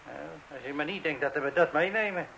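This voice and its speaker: A man's voice: a little high, fairly quick, vocal fry, bright, forceful tone, low-quality recording. A man playing a stubborn, rule-bound refuse collector with a blunt manner. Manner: blunt, colloquial, insistent. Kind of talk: radio play acting.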